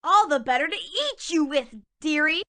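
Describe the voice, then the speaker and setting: A woman's voice: sits high, animated, normal speed, sharp tone. A woman voicing a sly, scheming female big bad wolf in a children's cartoon, with a mock-sweet, theatrical, wheedling delivery. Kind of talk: children's cartoon fairy tale acting.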